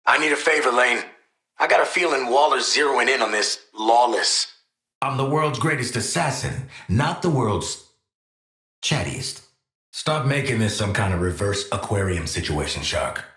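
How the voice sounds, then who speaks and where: A man's voice: medium pitch, lively intonation, normal speed, bright, forceful tone, echoey room. An actor playing a tough, wry male assassin and protective father in a video game, with a sardonic, streetwise manner. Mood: urgent, worried, then irritated, sarcastic.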